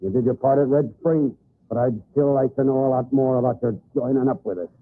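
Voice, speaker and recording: An actor playing a suspicious, domineering western outlaw boss with a blunt, threatening manner in an old radio drama. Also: male voice, mid-range voice, brisk, natural voice. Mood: menacing. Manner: threatening, blunt, drawling cowboy talk. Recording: tinny, noisy old radio recording.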